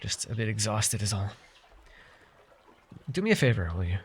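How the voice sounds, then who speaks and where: A man's voice: fairly low pitch, big pitch swings, normal speed, breathy edge, heavily acted. A man playing a chatty, wry and friendly fae potion brewer in a fantasy audio roleplay, talking to the listener with hesitant asides. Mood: tired, friendly.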